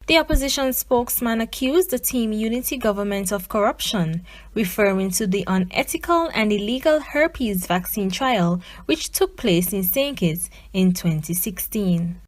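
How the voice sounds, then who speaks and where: A female news reporter reads from a script. Her voice sits at an average pitch, and the sound is close and dry, with very little room around it. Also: animated, normal speed, no echo.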